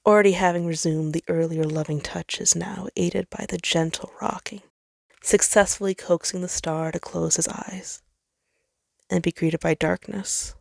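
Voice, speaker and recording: A woman's voice: fairly low pitch, steady tone, a little slow, vocal fry, dry recording, clean studio sound. A woman reading a story aloud as narrator, in a dramatic scripted style. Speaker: fan fiction narrator.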